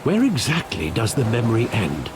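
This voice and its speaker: An actor playing an older, loyal guardian in a video game, speaking in a measured, formal and caring way. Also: male voice, mid-range voice, wildly swooping pitch. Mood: concerned. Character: older loyal, gently grave guardian of an orphaned man. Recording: clear rain and vehicle noise behind.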